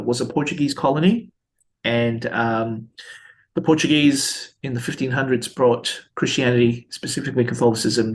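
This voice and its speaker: A man in his thirties from Malaysia, a priest speaking as an interview guest, halting often with fillers and restarts. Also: medium pitch, vocal fry. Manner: hesitant, many fillers and restarts.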